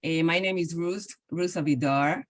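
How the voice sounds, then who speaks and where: A woman with an Israeli accent, a lecturer giving an academic talk over a video call, speaking haltingly with many fillers and restarts. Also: deep voice.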